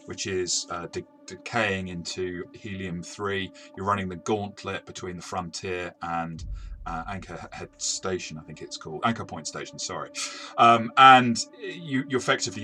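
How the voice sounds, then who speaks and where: A man's voice: low-pitched, bright, forceful tone. A man co-hosting a review, talking in a casual, discursive and explanatory way. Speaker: co-host and game reviewer.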